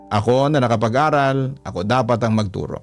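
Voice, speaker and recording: Mid-pitched man narrating over soft guitar. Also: brisk. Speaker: radio drama host and narrator. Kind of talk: scripted first-person story narration.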